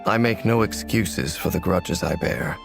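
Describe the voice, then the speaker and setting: A man's voice: sits low, unhurried, gravelly, music in the background. A man voicing a brooding, world-weary, long-lived warrior in a video game, speaking with grave, formal restraint. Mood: somber.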